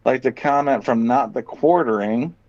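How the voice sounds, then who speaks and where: A man's voice: mid-range voice, normal speed, darkish tone. A man chatting casually as a podcast host, reading out items and reacting with profane, sardonic opinions. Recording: dull, muffled lo-fi audio.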